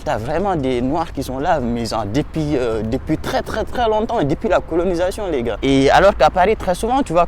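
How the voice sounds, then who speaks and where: A young man with a slightly high voice rambles in easy slang, calling his viewers "guys" as he walks outdoors. He records on a handheld camera, and faint music plays underneath. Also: a little mellow. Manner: slangy, rambling, talks to viewers as "les gars". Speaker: vlogger advising students. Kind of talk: casual vlog chat. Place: outdoors by a waterfront landmark at sunset.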